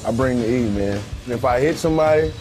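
A young football player man talking in a relaxed, easygoing way with a few restarts. His pitch sits in the middle range. Music plays clearly under his voice. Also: quick pace. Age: 20s. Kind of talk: casual interview chat. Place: car, then sports bar.

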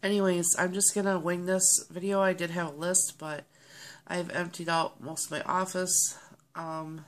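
A woman in her thirties chatting casually to her webcam, sounding nasal and stuffy from a cold, rambling with many ums and pauses. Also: on the low side, little pitch movement. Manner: rambling, friendly, with many ums and throat clearing. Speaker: vlogger. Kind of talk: casual chat to camera. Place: small home office, indoors. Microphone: webcam at desk.

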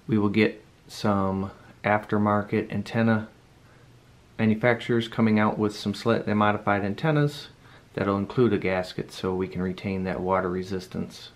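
Man, lowish pitch, casual reviewer, unscripted, rambling demo delivery. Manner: informal, rambling, thinking aloud. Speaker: product reviewer. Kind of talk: casual unscripted product demonstration. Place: indoor room at home.

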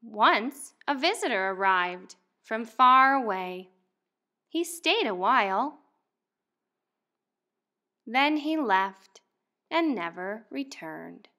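A young woman speaks like a primary teacher reading a picture book to small children. Her voice sits a little high and moves with very lively pitch. She takes her time, telling things slowly and expressively. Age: young adult. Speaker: first grade teacher.

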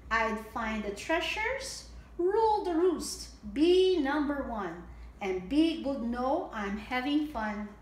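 A woman's voice: high-pitched, expressive, slow. A middle-aged woman hosting story time, reading a picture book aloud expressively and explaining things simply for young children. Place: indoor home room with table. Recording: echoey room with audible background noise.

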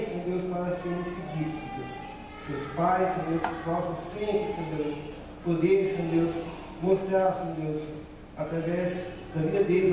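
Man leading congregational prayer, high voice, booming hall reverb. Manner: repeats "Senhor Deus" and "Pai" between every phrase. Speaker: church member leading prayer. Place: large church hall. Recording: echoey church hall, distant mic, background noise.

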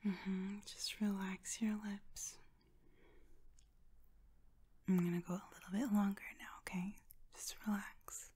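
A young woman playing an affectionate, playful friend in an ASMR roleplay, speaking softly and breathily, often in a whisper, with gentle reassurance. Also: mid-range voice, little pitch movement, husky, lightly acted. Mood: tender, soothing. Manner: gentle, reassuring, giggly, halting.

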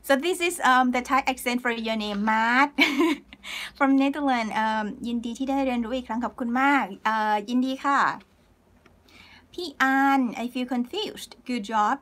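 A woman in her thirties teaching a live online language lesson from a small room, cheerful and laughing often as she reads out viewers' comments and repeats words slowly. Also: sits high, a bit bright. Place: small room indoors, plain wall.

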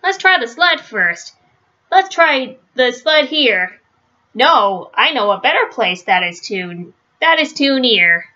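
Proud, bossy father bear, female reader, storybook; emphatic, bright, high-pitched, lo-fi sound. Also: big pitch swings, takes it slowly, full character voice. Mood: eager, boastful. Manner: showy, instructing, emphatic. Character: boastful, overconfident father bear teaching his son. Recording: dull, lo-fi webcam sound.

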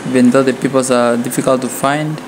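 A man's voice: mid-range voice, steady tone, fairly quick, background noise. A young man from Namibia in his twenties, chatting casually into a handheld phone camera while walking through a shopping mall and car park. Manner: chatty, repeats "like" and "guys", chuckles often. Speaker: vlogger interviewing people. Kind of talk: casual street-interview vlog. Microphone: handheld phone camera at arm's length.

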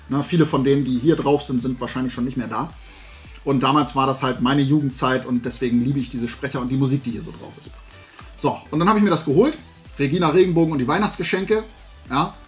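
Casting: middle-aged male vlogger, fairly high voice, rambling enthusiast, music underneath. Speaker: YouTube host and collector. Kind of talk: casual haul vlog chat. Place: indoor room full of shelved toys.

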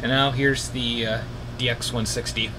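Halting man, air conditioner noise behind. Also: medium pitch, level tone. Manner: halting, searching for words. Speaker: hobbyist demonstrating shortwave radios. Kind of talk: casual unscripted demonstration. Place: indoor home radio room. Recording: noisy room with air conditioner running. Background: air conditioner hum.

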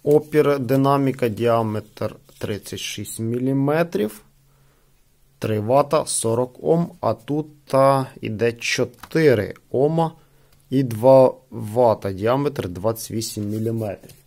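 A man talks through an unboxing in a casual, unscripted way. His voice has a lot of fry in it, and he goes haltingly, thinking aloud. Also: medium pitch, darkish tone. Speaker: product reviewer.

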